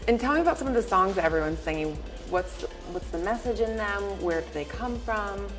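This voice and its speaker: Woman host, upbeat and quick, plain mid voice, clear music underneath. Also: medium pitch, lively intonation. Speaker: show host. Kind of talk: interviewing.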